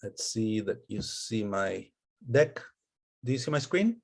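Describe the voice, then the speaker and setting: A man's voice: fairly low pitch, very animated, unhurried, creaky. A man in his fifties from Costa Rica, an investment partner giving a friendly, fluent presentation with frequent fillers and restarts. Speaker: venture capital investor relations partner. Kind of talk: webinar presentation.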